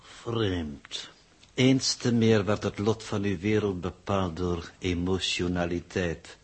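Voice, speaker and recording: A man acting a cold, superior old man, an alien in disguise, speaking formally and sardonically in a 1960s radio drama, with a husky voice. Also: sits low, slow pace, heavily acted. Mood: mocking. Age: older.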